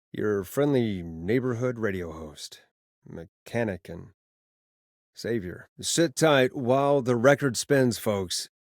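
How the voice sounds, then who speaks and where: Wry, animated late-night radio host. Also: male voice, on the low side, no room sound, studio quality. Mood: smooth on air. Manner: sardonic, expressive, showy on air. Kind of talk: video game voice acting, swinging between radio patter and casual talk.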